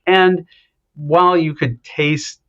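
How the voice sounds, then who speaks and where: A male professor from Ohio, answering interview questions in a relaxed, explanatory way, pausing often with ums. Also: on the high side, muffled recording. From Ohio, United States. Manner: explanatory, hesitant with many ums. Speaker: anthropology professor, guest. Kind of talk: conversational interview.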